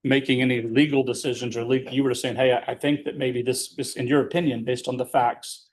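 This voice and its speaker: Male courtroom lawyer, mid-pitched and fairly monotone, patiently spelling out each question as defense counsel. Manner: measured, explanatory, checks answers with leading questions. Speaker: defense attorney questioning his client.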